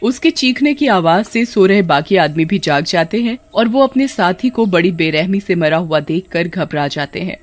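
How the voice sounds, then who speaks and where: Medium-pitched dramatic story narrator. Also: animated, moderate pace, hardly any pauses. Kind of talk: scripted dramatic storytelling for animated horror tale.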